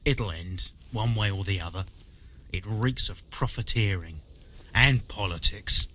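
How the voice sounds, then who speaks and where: An actor playing a proud, indignant medieval quarry master in a video game, blunt, grumbling and defensive. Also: male voice, on the low side, unhurried, sharp tone. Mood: aggrieved, defensive. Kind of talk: video game dialogue acting.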